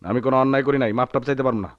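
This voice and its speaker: An actor playing a proud, hot-tempered poor village husband in a Bengali radio drama, with a rural Bangladeshi accent and a theatrical, defiant manner. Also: male voice, mid-range voice, fairly even intonation, very fast, highs cut off, natural voice. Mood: angry, defiant.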